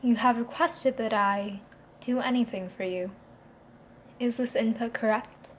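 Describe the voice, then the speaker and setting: A calm female AI system voice, put on by a woman for an audio roleplay. It speaks in flat, measured announcements, unhurried and a touch high. Faint background noise sits under it. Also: natural voice. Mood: flat, announcing.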